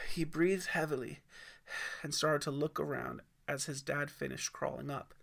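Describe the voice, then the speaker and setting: A man's voice: fairly high pitch, steady tone, measured pace, vocal fry, fairly bright tone. A man narrating a scripted audiobook story expressively, as its author and reader.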